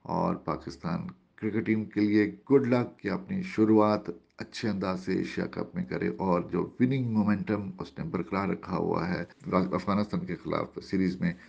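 A man presenting a cricket news report as a voice-over, in formal, measured phrasing. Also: low-pitched, fairly even intonation, gravelly, a little mellow, slightly rough, lo-fi sound.

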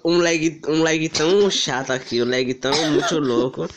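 A teenage boy, hoarse from a cold, giving slangy, giggly commentary on his gaming video at home. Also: on the high side, normal speed. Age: teens. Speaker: gaming channel host. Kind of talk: casual gameplay commentary.

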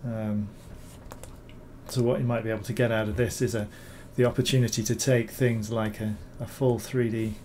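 A man, hoarse from recovering from illness, talking through a screen-recorded software tutorial, rambling with many ums and repeated words. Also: fairly low pitch, flat delivery, moderate pace, slight background noise. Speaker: bas-relief sculptor reviewing software.

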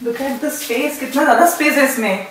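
A woman's voice: sits high, moderate pace. A woman, around her thirties, giving casual vlog commentary on a house tour in mixed Hindi and English. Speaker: vlog host. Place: small empty basement rooms, indoors. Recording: very echoey empty rooms, faint noise.

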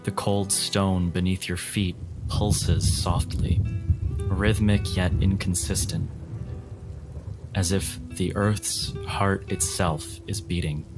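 A man narrating a tabletop game as game master, in a deliberate, dramatic and ominous storytelling style. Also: deep voice. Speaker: game master of a role-playing podcast.

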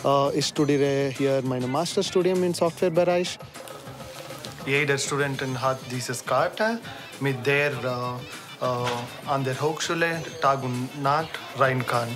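A man in his twenties from India with an Indian accent, speaking haltingly as a student interviewee. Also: medium pitch. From Bangalore, India. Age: 20s. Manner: halting, searching for words. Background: background music.

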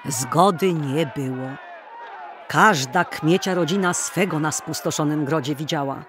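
A man narrating an old folk tale as a dramatic storyteller in a theatrical, deliberate manner. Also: fairly high pitch, a bit bright, a faint crowd, a little hiss or hum.

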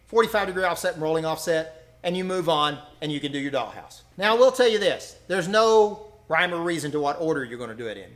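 A man's voice: on the high side, fairly bright tone. A man, giving plain-spoken, folksy exam advice straight to camera from a training room. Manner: folksy, plain-spoken, repeats short commands. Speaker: master plumber teaching exam prep. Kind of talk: direct to-camera instruction. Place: indoor training room in front of a whiteboard.

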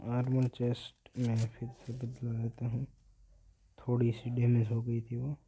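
A young Indian-accented man commentates casually over a mobile game. His voice is very dark and muted in tone, with a narrow pitch range. He talks slowly and often repeats short phrases. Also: monotone, slightly rough. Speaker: gaming video host.

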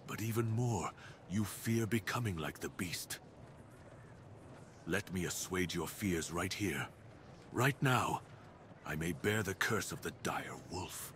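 A man playing a brooding, cursed dire wolf master in an ASMR roleplay, speaking in a solemn, deliberate, theatrical way with formal wording. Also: low-pitched, a bit bright, a little hiss or hum. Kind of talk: ASMR roleplay, dramatic monologue.

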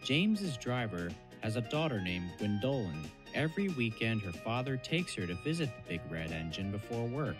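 A man's voice: mid-range voice, very animated, normal speed, few pauses, over music. A man reading scripted storybook narration for a cartoon dub.

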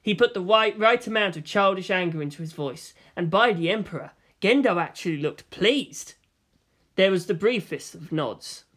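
A narrator reading fiction aloud as a dramatic scripted narration, with a medium-pitched voice. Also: expressive, normal speed, fairly bright tone. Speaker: story narrator.

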